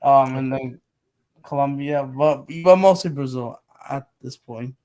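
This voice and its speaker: A man's voice: medium pitch, unhurried, a little mellow. A nineteen-year-old man chatting casually as a guest, rambling and earnest, repeating himself. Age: young adult. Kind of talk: casual chat.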